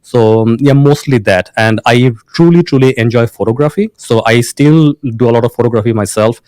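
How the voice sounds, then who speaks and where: A man's voice: mid-range voice, brisk, darkish tone, clear, resonant tone, no echo. A man from Bangladesh, a founder being interviewed, who restarts often and uses many fillers. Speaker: founder and guest. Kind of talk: casual interview talk.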